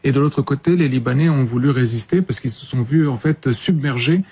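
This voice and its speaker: Male tv pundit, gravelly husky voice, hesitant halting delivery. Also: medium pitch, darkish tone. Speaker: political analyst on TV. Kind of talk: TV commentary.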